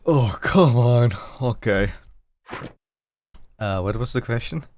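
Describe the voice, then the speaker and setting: A man's voice: medium pitch, expressive, takes it slowly, a little mellow. A man doing casual let's play commentary, hesitant and muttering to himself. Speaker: game streamer.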